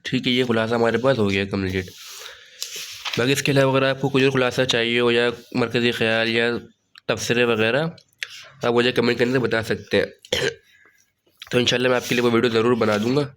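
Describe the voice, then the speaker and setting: A hoarse man with a sore throat walking students through a study guide in a relaxed way, often saying "theek hai". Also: medium pitch, normal speed, long pauses. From Pakistan. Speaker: online tutor. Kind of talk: casual study-guide walkthrough.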